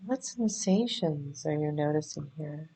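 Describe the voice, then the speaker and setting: A woman leading a guided meditation in a gentle, soothing, unhurried manner, leaving pauses between phrases. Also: sits low, expressive, breathy edge. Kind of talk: guided body scan meditation.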